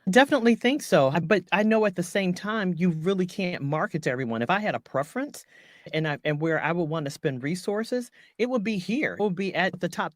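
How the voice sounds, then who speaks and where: A woman voiceover artist talking through her business in an interview, halting often and correcting herself mid-sentence. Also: on the low side, dry recording, clean studio sound. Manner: halting, many restarts and self-corrections. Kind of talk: conversational business coaching interview.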